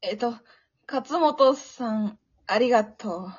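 A woman's voice: on the high side, takes it slowly, slightly rough. A woman acting a timid, bullied little schoolgirl in an amateur radio drama, meek and hesitant. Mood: shy, hesitant. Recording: dull, lo-fi audio.

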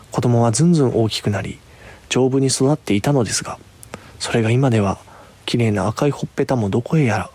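A man narrating a scripted story as a storyteller, with a husky voice. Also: mid-range voice, a little mellow.

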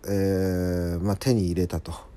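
A man's voice: low voice, flat delivery, takes it slowly, dark tone. A man hosting a solo show, giving a casual personal update to listeners in a polite, conversational manner. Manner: polite, apologetic, conversational with frequent agreeing asides. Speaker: solo audio show host.

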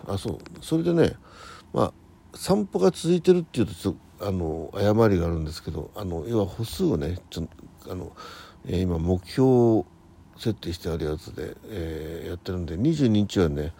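Older man in his sixties, gravelly, somewhat husky, halting, self-correcting, casual radio host. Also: low voice, mellow. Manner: halting, rambling, muttering to himself and correcting himself. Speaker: host of a morning audio diary show. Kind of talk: casual solo radio chat.

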